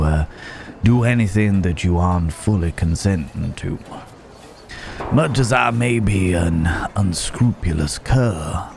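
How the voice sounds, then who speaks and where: A man playing a roguish, wry but protective Wild West cowboy in an ASMR roleplay, with a halting, teasing drawl. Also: low voice, vocal fry, darkish tone, heavily acted. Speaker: audio roleplay voice actor. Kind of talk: ASMR roleplay acting, addressed to the listener.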